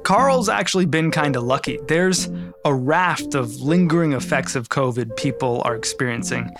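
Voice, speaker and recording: A man's voice: mid-range voice, expressive, normal speed, over music. A man hosting a podcast, friendly and conversational while interviewing guests. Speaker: podcast host. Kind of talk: interview and narration.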